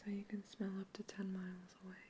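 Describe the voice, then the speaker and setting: A soft-whispering researcher, played by a woman, politely quizzing a captive alien in a sci-fi ASMR roleplay. Her whisper stays even and flat in pitch, curious and reassuring, with faint noise behind it. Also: fast, close to an everyday voice. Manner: gentle, curious, reassuring questions.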